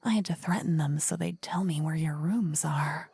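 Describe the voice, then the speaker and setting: A woman playing an indignant, blunt young necromancer rival in a fantasy ASMR roleplay, hushed and insistent. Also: deep voice, normal speed, creaky, breathy edge, no echo, exaggerated voice. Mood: angry. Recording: close dry ASMR microphone.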